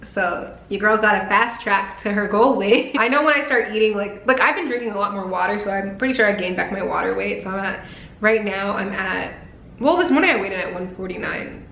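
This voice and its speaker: A woman's voice: mid-range voice, brisk, gravelly, a bit bright. A young woman talking casually to her viewers, filling her sentences with "like". Her voice sounds a little strained from a recent throat surgery. She's in an echoey room with a faint hum of ambience behind her. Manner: chatty, peppered with "like". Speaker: vlogger. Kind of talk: casual vlog talk to camera.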